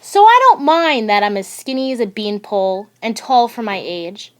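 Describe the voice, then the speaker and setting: A woman narrating a children's picture book aloud in a deliberate, expressive storytelling manner. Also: on the high side. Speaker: audiobook reader.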